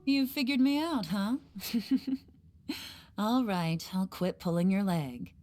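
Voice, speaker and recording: A woman's voice: medium pitch, slow, a little mellow, lightly acted. A woman voicing a composed, teasing female spy with a wry, slightly menacing manner in a game cutscene. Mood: thoughtful. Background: game music.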